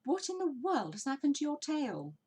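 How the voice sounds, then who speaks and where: A woman voicing an excitable, fretful, desperate male badger in a children's storybook. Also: sits high, normal speed, pitched above the performer's own voice. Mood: astonished. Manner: exclamatory, fretful.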